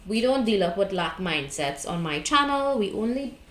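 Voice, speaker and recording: A woman's voice: medium pitch, big pitch swings, normal speed, reverberant, slight background noise. A woman with a Trinidadian accent giving a tarot reading, talking bluntly and casually, often checking in with "okay?" and "right?". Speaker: tarot card reader. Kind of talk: casual spiritual advice monologue.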